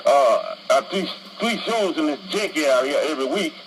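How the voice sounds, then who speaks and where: A man's voice: fairly high pitch, lively intonation, normal speed, slightly rough, poor audio. A man bantering as a radio guest, talking in a wordy, pompous way with ornate phrasing. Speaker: guest who hosts his own shows.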